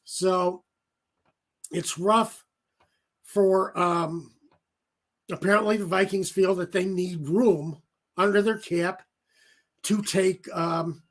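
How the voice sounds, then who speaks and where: A man hosting a sports talk show on his own, giving rambling commentary full of fillers and self-corrections, his voice going raspy from talking for an hour. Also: high voice, steady tone, takes it slowly, pauses often. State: voice getting raspy. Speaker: solo sports show host.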